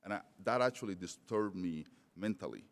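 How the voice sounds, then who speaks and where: Middle-aged male speaker with a non-native accent: deep, halting conference talk, in a reverberant hall. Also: lively intonation. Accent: non-native English. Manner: hesitant, many fillers and restarts. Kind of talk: conference presentation.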